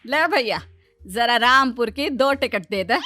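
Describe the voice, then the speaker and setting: A woman voicing a bossy, vain middle-aged mother-in-law in a children's cartoon story, with a sharp, boastful manner. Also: fairly high pitch, animated, unhurried, noisy background. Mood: brisk.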